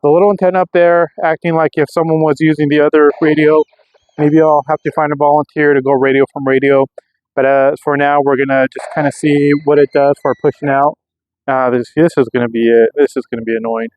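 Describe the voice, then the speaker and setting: A man's voice: a little high, flat delivery, fairly quick, dull audio. An American man talking casually and off the cuff as a vlogger testing gear, rambling and thinking aloud. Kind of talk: casual unscripted vlog narration. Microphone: clip-on microphone.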